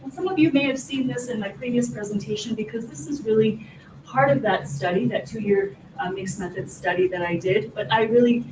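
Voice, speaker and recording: A woman in her forties from Peru, raised in the US, presents her research in an earnest, explanatory way, her voice pitched slightly high. The recording comes from a roomy conference hall. The mic sits well away from her, and steady background noise runs under her speech. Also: a little fast, far from the mic. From born in Peru, raised in the US. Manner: earnest, explanatory, builds points step by step. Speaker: assistant professor presenting research.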